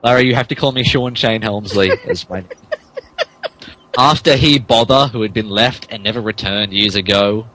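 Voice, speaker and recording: A man's voice: on the low side, moderate pace, bright, forceful tone, a little hiss or hum, low-quality recording. A man reading out the narration of a fan fiction story in a mock-dramatic style. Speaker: podcast co-host reading the narration.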